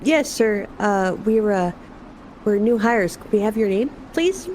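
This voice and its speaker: A woman's voice: medium pitch, expressive, unhurried, darkish tone, slight background noise. A woman chatting casually as a player in a tabletop roleplaying game.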